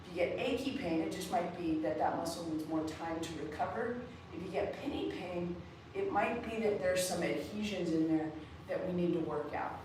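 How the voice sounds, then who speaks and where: A woman coaching a live mobility class, slightly raspy after a race, guiding each move step by step. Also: on the low side, moderate pace, a little hiss or hum. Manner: relaxed, guiding students step by step. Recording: echoey room, far from the mic.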